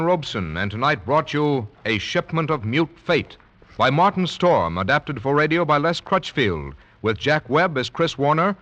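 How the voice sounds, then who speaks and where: An American man announcing in a formal, measured 1940s radio style. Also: a little high, a bit bright. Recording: vintage radio broadcast recording. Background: brass music.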